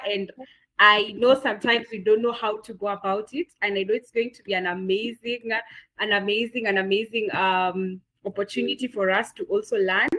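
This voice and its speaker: A woman's voice: medium pitch, a bit bright, poor audio. A woman with a Kenyan accent moderating the close of an online masterclass, enthusiastic, with lots of fillers and repeated words. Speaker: session moderator. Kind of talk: closing remarks on an online masterclass.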